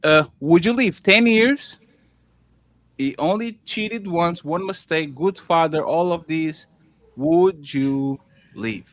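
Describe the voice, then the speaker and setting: A man with a Russian accent hosting a casual panel, joking and teasing the guests with quick interjections. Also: fairly high pitch, no echo.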